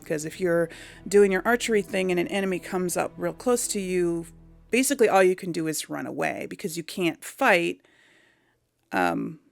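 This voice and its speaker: A woman with a lowish voice and a noticeable creak chats her way through game commentary. She speaks informally and rambles as she thinks aloud, with music playing behind her. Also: expressive, normal speed, dry recording. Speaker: gaming host. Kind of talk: casual game commentary to an audience.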